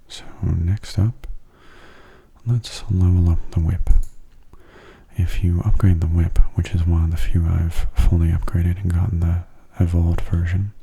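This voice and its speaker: Male ASMR gamer, very low and gravelly, soft-spoken, gentle, breathy, unhurried delivery. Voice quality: soft, breathy, often half-whispered. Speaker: gamer giving gameplay commentary.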